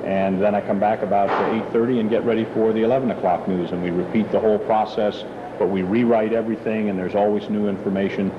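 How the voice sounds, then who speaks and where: A middle-aged man with a low voice, speaking in measured, explanatory sentences as a guest. He sounds like a seasoned anchor. The old tape is dull and carries a steady hiss. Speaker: TV news anchor, interview guest. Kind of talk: relaxed interview answers.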